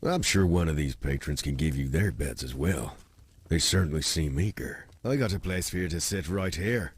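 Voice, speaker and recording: A man's voice: expressive, moderate pace, a little hiss or hum. A man playing a sly, folksy tavern owner in a video game. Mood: teasing. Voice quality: very deep, husky. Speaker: tavern keeper character.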